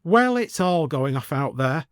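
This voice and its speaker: A man voicing a gossipy village woman giving excited, colloquial running commentary in an audio story. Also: fairly high pitch, measured pace, dry recording, studio quality. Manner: breathless gossipy chatter, colloquial.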